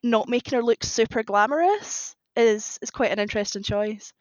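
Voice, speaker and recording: A woman's voice: on the high side, moderate pace, no echo, dull audio. A woman chatting casually and enthusiastically as a podcast guest. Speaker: podcast guest, fan fiction writer. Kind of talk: casual chat.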